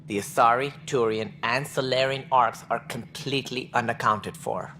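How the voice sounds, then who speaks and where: An actor playing a stern, proud alien administrator in a video game, speaking in formal, measured, clipped phrases. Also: male voice, mid-range voice, steady tone, pushed and bright, a little hiss or hum. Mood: grave, concerned. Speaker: alien colony director.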